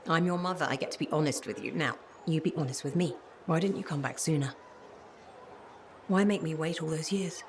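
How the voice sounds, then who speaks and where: An older woman voicing a wistful, fretful, loving mother in a video game, frank and gently chiding. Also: low-pitched, brisk, slight background noise.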